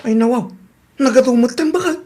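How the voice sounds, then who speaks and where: A woman's voice: sits high, expressive, a little fast, mellow. A woman playing an inquisitive spouse in a village household in a comedy radio drama.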